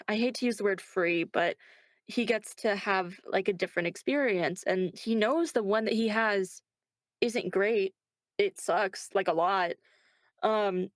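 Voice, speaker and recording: A woman's voice: mid-range voice, no room sound. Female interview guest, mid-range voice, dry sound, casual slangy delivery with a joking streak. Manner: informal, slangy, jokey. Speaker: voice actor being interviewed. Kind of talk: casual chat.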